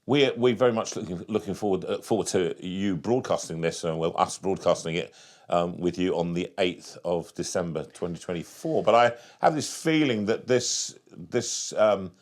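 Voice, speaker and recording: Low-voiced man hosting an interview. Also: moderate pace. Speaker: interviewer, host. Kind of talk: conversational interview.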